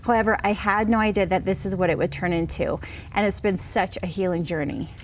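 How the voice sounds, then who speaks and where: A woman's voice: mid-range voice, steady tone, fairly quick, slight background noise, rough recording. A middle-aged woman speaking as an author guest in a TV interview, earnest and reassuring as she tells personal stories. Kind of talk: conversational TV interview, personal storytelling.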